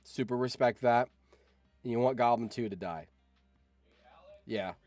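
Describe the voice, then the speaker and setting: A man's voice: medium pitch, measured pace, a little mellow, faint music behind. A man hosting a livestream, chatting casually with viewers and thinking aloud, often repeating his phrases. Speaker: livestream host.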